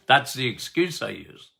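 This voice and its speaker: An older man hosting a health podcast as a doctor, talking loudly and passionately, with frequent laughs. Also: medium pitch, vocal fry. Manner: loud, emphatic, passionate, laughs often. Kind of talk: casual health podcast talk.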